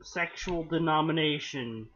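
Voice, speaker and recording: A man's voice: a little high, unhurried, fairly bright tone. Wry man on a dull lo-fi mic. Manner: rambling, wry, dry jokes. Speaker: co-host reading fanfiction. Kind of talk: casual banter on a livestream.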